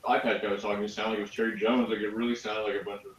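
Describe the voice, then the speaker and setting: A man's voice: lowish voice, little pitch movement, rapid-fire. A man chatting casually as a guest panelist on a review show. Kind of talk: casual chat. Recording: roomy echo with background noise.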